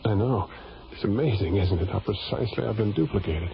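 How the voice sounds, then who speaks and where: An actor playing a male professor who talks in halting, earnest bursts in an old radio drama. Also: lowish voice, creaky, soft background music. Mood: awed. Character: male professor, shaken but awed. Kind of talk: 1970s radio drama acting. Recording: dull old radio recording.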